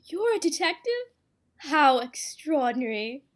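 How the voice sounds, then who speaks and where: A woman's voice: high voice, sing-song, a little slow. A woman playing an anxious, earnest nurse in an amateur radio play. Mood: surprised. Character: anxious, pleading young female nurse under suspicion.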